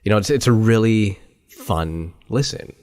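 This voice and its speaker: A man's voice: lowish voice, expressive, unhurried, a little mellow. A man hosting a podcast interview, chatting in a friendly, talkative way full of fillers. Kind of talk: casual interview chat.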